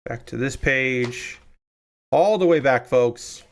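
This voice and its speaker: A man streaming live from a small room at home, chatting casually and rambling while he builds, with a loud booming voice. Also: slow. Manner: rambling, chatty, restarts often.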